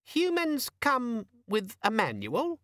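High, squeaky, whiny storybook imp; pitch way up, nagging and sulky. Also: male voice, huge pitch swings, slow pace, a bit bright, no room sound, clean studio sound, heavily acted. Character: squeaky, cheeky, sulky little pocket demon organizer.

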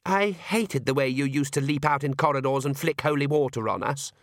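A man's voice: mid-range voice, brisk, no room sound, clean studio sound, close to an everyday voice. Sullen vampire daughter, played by a male narrator, weary and grudging, for a comic storybook, dryly recorded. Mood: resentful. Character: sulky, theatrically weary young female vampire, the Count's daughter.

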